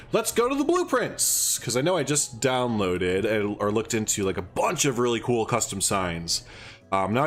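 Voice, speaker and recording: A man's voice: lowish voice, fairly bright tone. A man casually chatting on a gaming livestream, rambling and thinking aloud, a bit stuffed up. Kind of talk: casual gameplay livestream chat.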